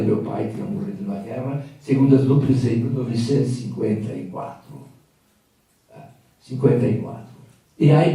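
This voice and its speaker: A man's voice: mid-range voice, dark tone. Older man in an echoey hall. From Hungary. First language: Hungarian. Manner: reflective, halting with fillers. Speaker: guest speaker. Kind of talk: personal storytelling talk. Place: event hall. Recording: echoey room from a distant mic.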